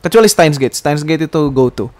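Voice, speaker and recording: A man's voice: on the high side, animated, fairly quick. A man hosts a casual, rambling solo podcast, hesitantly searching for words and slipping in slang and English. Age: 20s. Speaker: podcast host.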